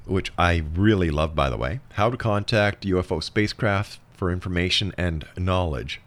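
A man's voice: deep voice, normal speed, a bit bright. A man hosting a talk interview, putting probing questions emphatically and conversationally to a guest he addresses as a friend. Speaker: interview show host.

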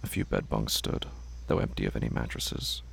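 Low, very gravelly male horror narrator. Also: sing-song. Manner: hushed, deliberate, brooding. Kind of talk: scripted horror story narration.

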